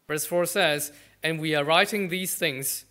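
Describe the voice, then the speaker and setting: A male pastor with a Malaysian accent delivers a sermon in short, earnest phrases, pausing after each one. His voice sits a little high and sounds bright and forward. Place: church.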